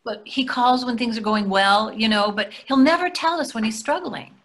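A woman's voice: fairly high pitch, normal speed, fairly bright tone, a little hiss or hum, natural voice. A middle-aged woman playing an eager-to-please empty-nester wife in a comedy play reading, chatty and placating. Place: indoors on a video call. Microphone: video call microphone.

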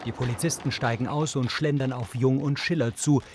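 A man's voice: medium pitch, fairly even intonation, fairly quick, a little mellow, slight background noise. A man reading a scripted audio description in a neutral, matter-of-fact way. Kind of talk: scripted narration, present tense.

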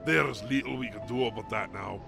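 A man playing a stern, guarded naval commander with a gravelly, formal, commanding delivery in a fantasy drama. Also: lowish voice, a little fast, over music. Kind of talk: fan-made fantasy game drama acting.

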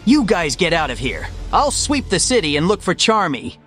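A man's voice: high voice, very animated, normal speed, fairly bright tone. A man playing a young heroic hedgehog, cocky but worried, in a dramatic comic dub with casual, earnest delivery. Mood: determined. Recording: music behind the voice.

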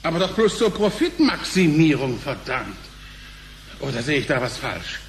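A brash male company director in a sci-fi radio play acted by a man. His high voice swings widely as he blusters in crude slang, excitable and jovial. There is clear noise and ambience behind him. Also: normal speed. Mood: incredulous. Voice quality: laughing, excitable delivery. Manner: blunt, slangy, exclamatory. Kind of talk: German radio play acting.

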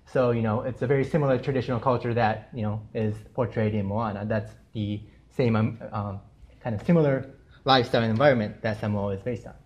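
A man's voice: lowish voice, steady tone, a little fast. A young man from Japan, whose first language is Japanese, giving a casual, chatty talk about his art to students in a lecture room, full of friendly fillers. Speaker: artist giving a gallery lecture. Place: university lecture room with projector screen.

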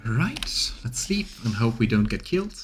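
A man's voice: medium pitch, big pitch swings, moderate pace, a little hiss or hum. A man in his thirties giving casual let's-play commentary and reading out game text. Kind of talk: voiced gameplay commentary and dialogue reading. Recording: game music behind the voice. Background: game music.

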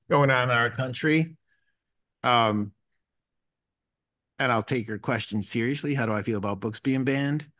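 Blunt low-voiced man on a panel. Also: fairly bright tone, no room sound. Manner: frank, blunt, dropping low. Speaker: panel speaker. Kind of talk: answering an audience question. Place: conference room panel table.

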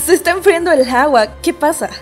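A woman's voice: high-pitched, fairly quick, soft background music, close to an everyday voice. A woman with a Latin American accent playing a casual, teasing, outspoken young girlfriend in a comedy fan dub. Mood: firm. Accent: Latin American Spanish.

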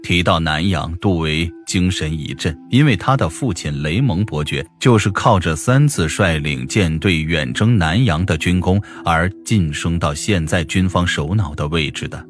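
A man narrating a fantasy audiobook in a measured, dramatic storytelling manner. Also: low voice, expressive, soft background music.

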